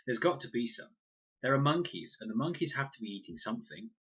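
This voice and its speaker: A man's voice: medium pitch, lively intonation, quick pace, vocal fry, fairly bright tone, breathy edge, dull audio, voice pushed up, heavily acted. A man voicing an earnest, uneasy boy adventurer in a storybook, tentative as he reasons.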